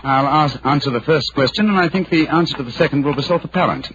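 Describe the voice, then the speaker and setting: A man playing a brisk, assured British gentleman detective in a 1940s radio drama, speaking in a precise, formal, theatrical way. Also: on the high side, close to an everyday voice. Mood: confident, explaining. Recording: dull, noisy 1940s radio recording.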